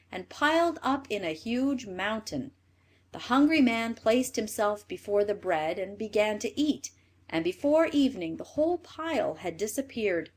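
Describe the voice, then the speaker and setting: A woman reading a scripted fairy tale as a storyteller, in an expressive manner. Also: medium pitch.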